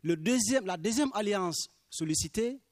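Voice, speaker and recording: A man's voice: high voice, animated, no room sound, clean studio sound. Man giving an earnest formal speech. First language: Minyanka. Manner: earnest, deliberate, emphatic on key phrases. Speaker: speaker for small farmers' movement.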